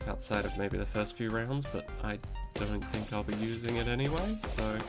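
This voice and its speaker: A man's voice: on the low side, moderate pace, loud music behind the voice. Stuffy-nosed man chatting casually. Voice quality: nasal, congested from a cold. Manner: rambling, laid-back, swears casually. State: recovering from a cold, stuffy nose. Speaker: gaming channel host. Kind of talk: casual commentary over gameplay.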